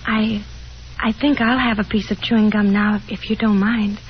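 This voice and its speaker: A woman playing a painfully shy young daughter, timid, hushed and hesitant, in a 1950s radio drama. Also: mid-range voice, fairly even intonation, moderate pace, lightly acted. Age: young adult. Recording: muffled, noisy 1950s radio recording.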